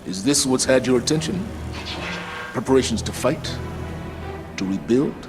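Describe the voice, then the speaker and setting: A man voicing a stern, skeptical military commander in a video game, grave, formal and measured. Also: mid-range voice, animated, music in the background, close to an everyday voice. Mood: grave, probing. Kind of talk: video game dialogue acting.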